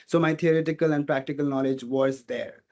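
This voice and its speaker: A man's voice: fairly high pitch, fairly even intonation, brisk, darkish tone, lo-fi sound. Earnest man. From India. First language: Portuguese. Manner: earnest, thinking aloud with frequent "you know". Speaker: interview guest, hospitality student and front desk agent. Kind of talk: casual interview talk.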